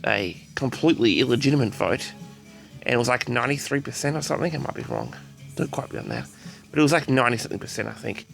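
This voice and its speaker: Creaky-voiced man rambling through an audio log. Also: medium pitch, fairly bright tone. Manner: hesitant, repetitive, often addresses listeners directly. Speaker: host of a personal audio log. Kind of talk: rambling casual monologue to audience.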